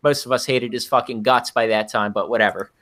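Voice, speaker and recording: A man with a high-pitched voice telling a story as a podcast guest, swearing freely and ranting. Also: steady tone, a little fast, fairly bright tone. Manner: profanity-laced ranting. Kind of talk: profane casual storytelling.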